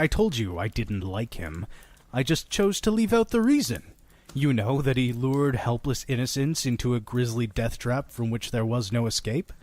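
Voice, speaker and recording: A man playing a sardonic, sinister innkeeper who narrates grisly horror tales in an audio drama, with dramatic pauses. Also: medium pitch. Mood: wry, sardonic. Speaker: storytelling narrator in character. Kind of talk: theatrical horror anthology narration, shifting to wry asides.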